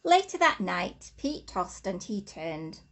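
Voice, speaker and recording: Slow, animated woman reading a storybook. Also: mid-range voice. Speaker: infant school teacher reading a story. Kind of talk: expressive children's storybook reading.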